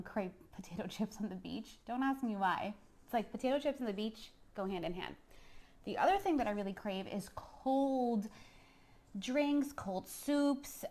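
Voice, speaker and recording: A woman's voice: medium pitch, darkish tone. A middle-aged woman from Puerto Rico chatting informally as a chef on a live cooking show, often checking in with viewers. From San Juan, Puerto Rico. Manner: chatty, informal, addresses viewers directly with frequent "okay?" tags. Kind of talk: casual live-streamed cooking demo chat.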